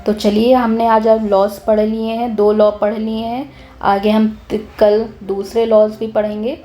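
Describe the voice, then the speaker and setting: Young woman teacher, a bit hoarse, eager, mellow tone, keeps restarting her sentences. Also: on the high side, a little slow. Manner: lively, talks with energy and restarts often. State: hoarse, clears her throat. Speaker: chemistry teacher. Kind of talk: casual explanatory lesson.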